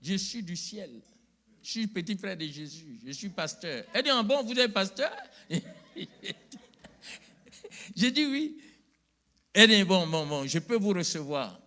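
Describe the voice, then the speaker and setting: A middle-aged pastor, preaching a sermon in a church, calling out to the congregation and rising to loud emphatic peaks. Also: male voice, high voice, very animated, unhurried, long pauses.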